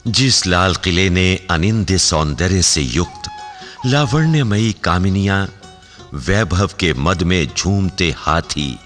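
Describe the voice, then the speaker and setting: A man's voice: deep voice, a bit bright, big-room sound. A man narrating a radio drama from a script in a grandiloquent manner.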